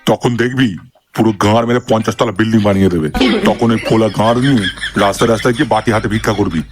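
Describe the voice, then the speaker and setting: A very quick male voice over clear music, a man acting it out. He is a middle-aged cartoon brother-in-law, exasperated, crude and sarcastic, forever scolding. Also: fairly low pitch, steady tone, natural voice. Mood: sarcastic, taunting. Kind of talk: comic cartoon dialogue.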